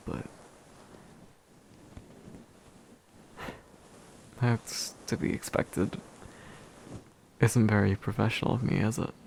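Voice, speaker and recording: Guy doing a kind soothing demon for asmr roleplay, lowish gravelly voice, talks soft and tender. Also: slight background noise, low-quality recording. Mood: bashful. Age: young adult. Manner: soothing, hushed, unhurried, tender. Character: gentle, reassuring, slightly bashful male demon of envy.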